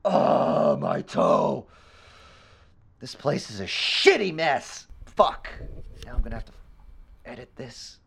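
A man playing an awkward, scrappy amateur ghost hunter and podcaster, talking in a halting, casual, rambling way. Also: medium pitch, huge pitch swings, vocal fry, slight background noise, exaggerated voice. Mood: pained, irritated. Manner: halting, casual, explains gear earnestly. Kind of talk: naturalistic audio drama acting, rambling informal narration.

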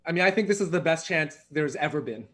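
Male panelist, higher-than-average pitch, conversational and informal delivery. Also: expressive, fast, fairly bright tone, breathy edge. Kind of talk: casual discussion.

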